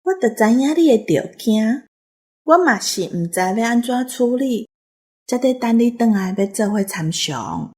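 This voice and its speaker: An actress playing a practical, worn-out old village granny with a husky voice in a Taiwanese audio drama. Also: female voice, on the high side, sing-song, unhurried, darkish tone, full character voice. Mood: matter-of-fact.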